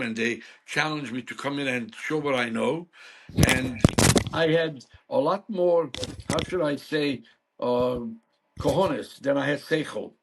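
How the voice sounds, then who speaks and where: A man's voice: mid-range voice, vocal fry, fairly bright tone. A man in his seventies from Hungary, speaking, telling stories frankly as a guest in an interview. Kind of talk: storytelling interview.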